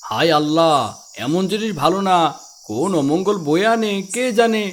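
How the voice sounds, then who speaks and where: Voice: medium pitch, lively intonation, normal speed, slight background noise, natural voice. An actor voicing an anxious, superstitious village parent in a fantasy cartoon. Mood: fearful, warning.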